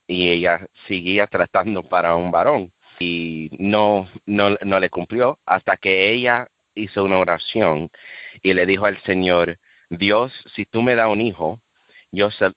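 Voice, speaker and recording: A man with a deep, low voice tells his faith story over a call, stopping and restarting his sentences as he reaches for words in his second language. The audio is dry and slightly muffled. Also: no echo. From United States. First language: English. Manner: halting, with many restarts and fillers. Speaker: guest giving a testimony. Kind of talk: personal testimony on a podcast call.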